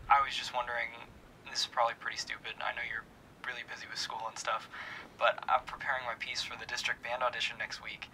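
A young man playing a nervous, apologetic student who haltingly leaves a voicemail. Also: medium pitch, level tone, sharp tone, slight background noise, low-quality recording. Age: young adult. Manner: halting, hesitant, self-effacing. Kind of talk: acted voicemail monologue.

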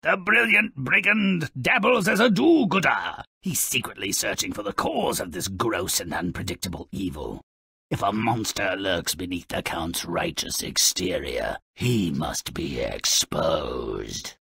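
A gruff, sneering gnome who narrates a twisted video game fairy tale. His raspy voice has a forceful, sharp edge and leaps theatrically up and down. He relishes each word slowly, with pompous, mischievous scorn. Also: sits low, very animated, no echo, clean studio sound, exaggerated voice. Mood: gleeful, mocking. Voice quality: raspy, gravelly.

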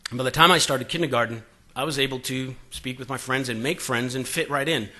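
A man's voice: mid-range voice, brisk, bright, forceful tone. A man in his forties from Boston gives a talk at a conference podium, telling stories in a conversational way with many fillers and acting out quoted exchanges. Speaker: conference speaker. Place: conference room. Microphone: podium microphone.

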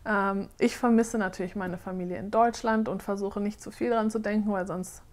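A woman in her thirties from Germany, chatting casually on camera in a question-and-answer vlog and often pausing with fillers as she searches for words. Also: a little high. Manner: chatty, hesitant with frequent fillers. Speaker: doll-making vlogger. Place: living room, seated on a sofa.

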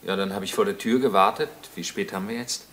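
A dutiful detective in a crime drama, matter-of-fact, with a low but forceful, bright voice. The soundtrack is a little noisy. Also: male voice, quick pace. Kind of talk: German television crime drama acting.

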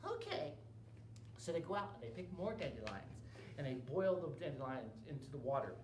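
A middle-aged man telling a children's story in an animated way. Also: medium pitch, fast. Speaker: library storyteller. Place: small indoor room at a library. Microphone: camera a few feet away. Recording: roomy, distant camera mic, faint hum.

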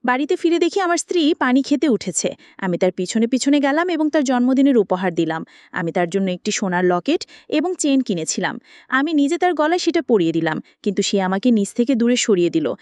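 A woman's voice: fairly high pitch, big pitch swings, fairly quick, darkish tone, no room sound. A woman reading a scripted romantic story aloud in the first person, as an audio story narrator.

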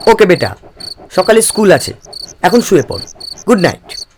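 A kind father, voiced by a man, speaks in a soft, reassuring, fairly high voice. Faint noise sits behind him in this audio drama. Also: sing-song, normal speed. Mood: gentle. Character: gentle caring father.

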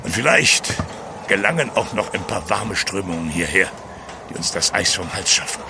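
An actor playing a gruff, level-headed ship's captain in a German audio drama, firm and curt. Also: male voice, low voice, expressive, moderate pace, noisy background. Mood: measured.

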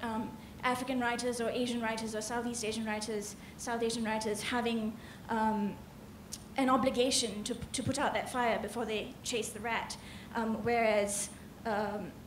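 Young woman, higher-pitched voice, from Malaysia, hesitant audience comment, roomy auditorium. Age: young adult. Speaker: audience member asking a question. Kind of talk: spontaneous remarks at a literary panel. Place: large indoor conference hall. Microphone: handheld microphone. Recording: roomy, echoing auditorium with some noise.

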